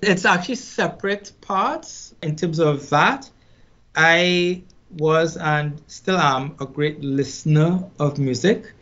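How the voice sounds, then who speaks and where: A man's voice: fairly high pitch, a bit bright. Reflective Trinidadian man, slightly dull sound. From Trinidad and Tobago. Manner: unhurried, reflective, repeats words as he thinks. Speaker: musician guest. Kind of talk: casual interview storytelling.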